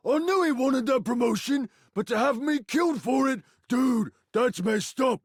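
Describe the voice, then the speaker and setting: A man voicing a gullible, flustered fantasy guard in a video game, with a husky voice and slangy, incredulous delivery. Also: very high voice, animated, normal speed, dry recording, studio quality, pitched above the performer's own voice, full character voice. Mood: hurt, indignant. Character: gullible, indignant male fantasy guard, talks like an office worker.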